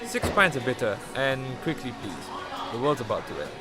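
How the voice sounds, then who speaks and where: A man's voice: mid-range voice, expressive, brisk, loud music behind the voice, close to an everyday voice. A man acting a blunt, matter-of-fact alien posing as a human in a radio play.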